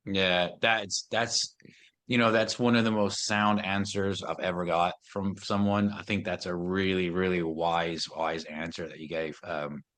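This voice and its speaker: Deep-voiced podcast host, affirming. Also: male voice, level tone, unhurried. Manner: affirming, with soft listening murmurs. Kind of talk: conversational podcast interview.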